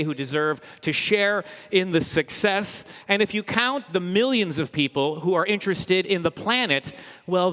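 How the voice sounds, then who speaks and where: Upbeat male event host in echoey hall. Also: sits high. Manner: upbeat, energetic, joking. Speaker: comedian hosting a corporate event. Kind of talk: humorous live stage presentation. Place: large indoor event hall, live audience. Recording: echoey big hall, long reverb.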